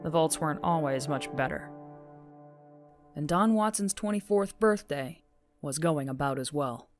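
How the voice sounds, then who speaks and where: A woman performing grave, measured narration as the solemn storyteller of a post-apocalyptic audio drama. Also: deep voice, animated. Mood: wry. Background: music.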